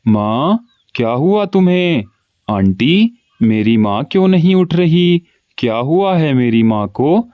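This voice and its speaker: A man's voice: a little high, sing-song, takes it slowly, no echo, narrow, tinny audio. A man voicing a lazy, greedy young male crow in a cartoon, whiny and scheming. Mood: pleading. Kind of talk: cartoon voice acting.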